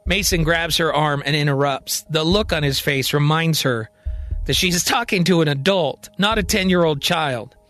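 A man narrating a scripted audio drama as storyteller, in deliberate, measured phrases. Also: on the high side, fairly bright tone, faint music behind.

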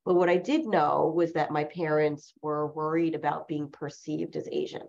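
A woman's voice: low-pitched, steady tone, normal speed, creaky, a little mellow. A woman in her forties, a lawyer who grew up speaking Chinese as her first language, telling personal stories in an interview with reflective, rambling phrasing and frequent self-corrections. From New Jersey, USA. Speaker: lawyer, guest. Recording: dull lo-fi recording.

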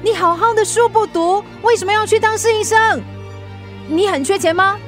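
A strict, exasperated mother in a radio drama, speaking. She interrogates and scolds, quick to anger, over faint music. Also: very high voice. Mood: hurt, scolding. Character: strict, exasperated working mother of a teenage girl. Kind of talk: Mandarin radio drama acting.

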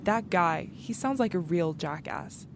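Cocky high school bad boy played by a woman, teen romance reading, low register, traffic rumble behind. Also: lively intonation, measured pace. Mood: firm, gruff.

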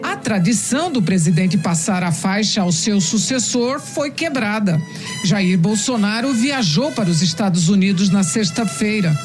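A woman's voice: medium pitch, lively intonation, normal speed, music in the background. A woman narrating a news report, delivering it steadily and matter-of-factly.

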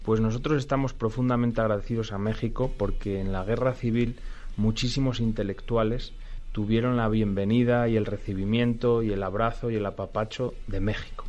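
A man's voice: fairly low pitch, a little mellow. An older man from Spain with a Spanish accent, a guest musician talking expansively and fondly in a radio interview. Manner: expansive, fond storytelling.

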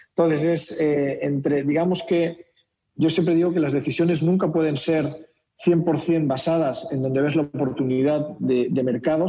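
A man's voice: a little high, warm tone, highs cut off. A man from Spain with a Castilian accent slightly mixed with Mexican, explaining his business as a radio interview guest. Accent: Castilian Spanish with a slight Mexican mix. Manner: explanatory, frequent repetitions and tag questions. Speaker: company director, interview guest.

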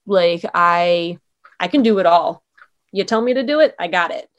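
A woman's voice: fairly low pitch, lively intonation, moderate pace, highs cut off. A young adult woman, a former sports anchor, talking casually as an interview guest in an upbeat, chatty way with lots of "um" and "like".

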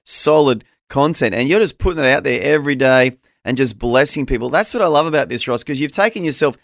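A man's voice: medium pitch, lively intonation, brisk, creaky, no echo, studio quality. An Australian man working as a radio interview host, speaking in an affirming, conversational way that prompts his guest.

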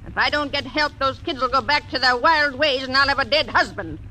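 Hard-nosed frontier woman of fifty; sharp, high, plain-spoken, vintage radio hiss. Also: brisk, poor audio. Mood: stern. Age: 50s. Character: tough frontier woman around fifty, blunt. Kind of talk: 1940s radio acting. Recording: old radio broadcast with faint hiss.

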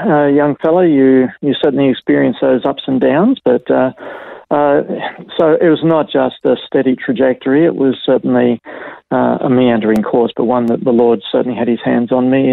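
A middle-aged man with an Australian accent answers interview questions haltingly, with lots of "uh"s. His voice is gravelly and dry. It comes down a thin, tinny phone line. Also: medium pitch, dry recording. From Brisbane, Australia. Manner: hesitant, many fillers. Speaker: pastor and guest. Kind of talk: casual interview.

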